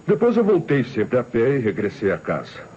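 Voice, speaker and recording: An anguished Brazilian suspect in a vintage radio mystery. He sounds nervous, hesitant and defensive, with wide swings of pitch. The sound is dull and slightly noisy. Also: wildly swooping pitch, moderate pace, a little mellow. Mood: troubled. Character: nervous, anguished male murder suspect protesting innocence. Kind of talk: old Brazilian radio mystery play acting.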